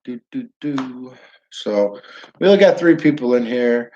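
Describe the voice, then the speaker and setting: A man's voice: mid-range voice, expressive, measured pace, warm tone, highs cut off, slight background noise. A man with a northern New York accent hosting a casual livestream, rambling, restarting sentences and talking to viewers by name. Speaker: livestream host. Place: his downtown building.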